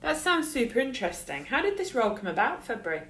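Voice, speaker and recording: A woman's voice: a little high, very animated, fast, a bit bright, slight background noise. Upbeat woman hosting a podcast. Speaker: clinical director, podcast host.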